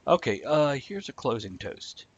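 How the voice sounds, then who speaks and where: A man's voice: mid-range voice, sing-song, unhurried, breathy edge. A man hosting a livestream with casual, wry, joking banter and informal step-by-step explanations. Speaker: livestream show host. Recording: dull lo-fi livestream audio.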